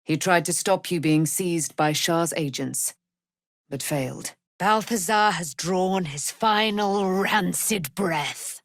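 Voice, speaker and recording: Regal female warrior knight for a fantasy game: righteous, vengeful, grand archaic declamation, slow measured delivery. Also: fairly low pitch, no echo, clean studio sound. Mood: resolute. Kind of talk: theatrical fantasy game voice acting.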